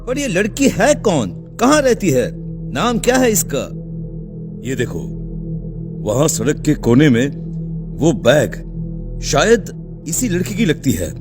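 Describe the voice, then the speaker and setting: Man playing a lively, higher-voiced cartoon policeman. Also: slow pace, pauses often, music in the background, full character voice. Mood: pondering, serious. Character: investigating policeman, matter-of-fact and concerned. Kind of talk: cartoon dialogue acting.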